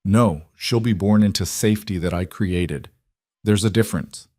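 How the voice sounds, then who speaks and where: Man acting male mafia boss, low pitch, cold resolve, protective, measured intensity, dry audiobook sound. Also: darkish tone, no room sound. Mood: confident. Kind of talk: audiobook character dialogue.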